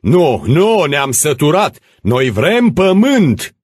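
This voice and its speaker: Storybook role: demanding peasant rebels voiced by one male reader, furious, chanted shouts pitched far up. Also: sing-song, slightly rough, no room sound. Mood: roaring anger. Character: angry rebellious peasant mob, demanding, yelling.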